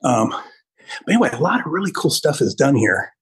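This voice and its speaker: A man in his fifties giving an enthusiastic, casual walking tour over a phone video call, speaking in a halting way. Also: medium pitch, sing-song, fairly bright tone, breathy edge. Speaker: podcast host giving a studio tour. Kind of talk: casual chat, walk-through tour. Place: indoors, walking through studio offices and hallways. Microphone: phone held at arm's length on video call.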